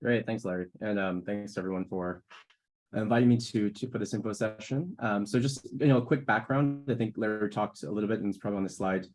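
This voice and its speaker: A low-pitched American man talks through his experience on a video call. He speaks conversationally, pausing on fillers and restarting sentences as he goes. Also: a little mellow. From United States. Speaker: current scholar and student speaker. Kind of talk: info session talk on a video call.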